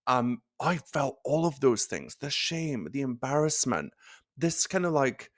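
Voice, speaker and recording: A man hosting a casual motivational talk as a nurse coach, expressive and animated, filling his speech with "like". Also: medium pitch, unhurried. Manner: expressive, animated, swearing, lots of "like" fillers. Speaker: nurse coach and podcast host.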